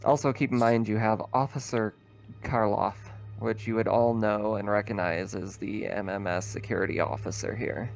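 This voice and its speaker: Low-voiced man running a tabletop game. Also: steady tone, moderate pace, gravelly, faint music behind. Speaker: game master. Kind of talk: improvised tabletop game narration.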